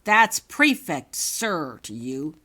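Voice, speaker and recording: A woman's voice: sits low, sing-song, slow pace, bright, forceful tone, exaggerated voice. A woman voicing an angry, arrogant young male school prefect in a storybook reading, barking stern, suspicious commands. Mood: angry, commanding.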